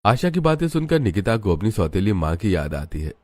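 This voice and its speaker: A man's voice: fairly low pitch, sing-song, brisk, darkish tone. Man narrating a story over music. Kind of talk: scripted Hindi cartoon story narration. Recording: music bed behind the voice.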